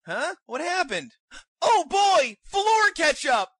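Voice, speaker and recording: A woman's voice: very high-pitched, sing-song, slow, heavy background noise, exaggerated voice. A woman voicing a brash, dim teenage ninja boy with slangy surfer-dude speech in a cartoon parody. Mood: excitable. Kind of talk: fan-dub parody cartoon acting.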